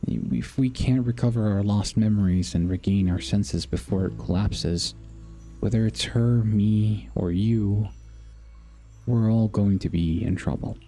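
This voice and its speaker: A man streaming a video game, reading its dialogue aloud haltingly and dropping in casual asides. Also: lowish voice, mellow. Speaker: gaming streamer. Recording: faint game music behind. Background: faint game music.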